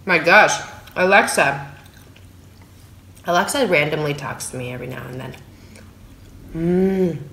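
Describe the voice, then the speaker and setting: A woman's voice: low-pitched, measured pace, creaky, pauses often, roomy sound, a little hiss or hum. A woman in her thirties from San Francisco, chatting casually in a food vlog while eating at her dining table, recorded on a phone. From San Francisco, USA. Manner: relaxed, chatty, talking between bites of food. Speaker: food vlogger. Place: small room at home, indoors at a dining table. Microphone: phone camera on the table.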